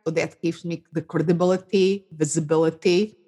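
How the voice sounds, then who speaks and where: A woman's voice: sits low, steady tone, normal speed, mellow, slightly rough. A woman from Portugal with a Portuguese accent, a life coach telling her story as a podcast guest, earnest and full of fillers. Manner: earnest, non-native phrasing with fillers. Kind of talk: interview answers, storytelling.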